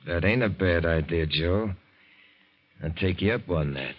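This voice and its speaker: An actor playing a tough, bossy crime boss in old-time radio drama, giving gruff, clipped, slangy orders. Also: male voice, low voice, measured pace, dull audio, heavy background noise. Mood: scheming, bossy.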